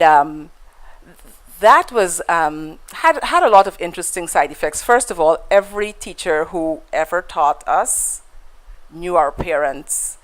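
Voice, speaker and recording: A woman's voice: deep voice, poor audio. A woman with a Guyanese accent, a guest in a conversational interview, telling stories in a reflective way with frequent fillers and restarts.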